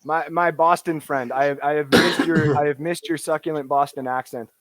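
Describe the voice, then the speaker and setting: A man's voice: on the high side, fairly even intonation, moderate pace, a bit bright. A man co-hosting a casual sports talk show, chatting informally.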